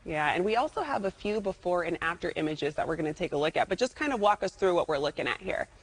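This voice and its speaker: A woman's voice: on the low side, expressive, fast, breathy edge, low-quality recording. A woman working as a television host, asking questions in a promotional interview segment.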